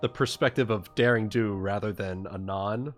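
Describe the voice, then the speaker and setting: A man chatting casually as a livestream host, explaining things in a halting, stop-and-start way. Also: on the low side, soft background music. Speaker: livestream host and game master.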